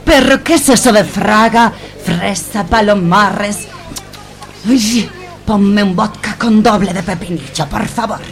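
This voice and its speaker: A woman's voice: medium pitch, slow, soft background music. A woman playing a puzzled Russian regular at a market bar in a radio soap.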